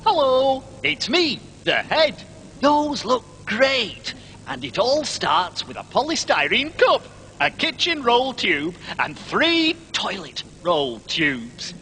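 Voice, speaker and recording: A woman voicing a cheerful, quirky talking head that gives deliberate craft recaps on a children's television show. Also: fairly high pitch, sing-song, a bit bright, a little hiss or hum, exaggerated voice. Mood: cheerful, instructive.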